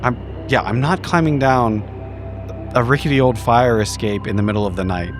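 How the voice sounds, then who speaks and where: A man's voice: medium pitch, moderate pace, music in the background. A man playing a tabletop roleplaying game, improvising in character in an argumentative way and swearing casually.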